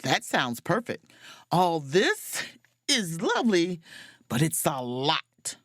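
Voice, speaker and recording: Low, lively, wry woman narrator. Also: slow, dry recording, studio quality, heavily acted. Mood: friendly. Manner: confiding, wry, playful. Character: wry, self-mocking adventurous woman officer narrating her vacation. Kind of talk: first-person audio drama narration and dialogue.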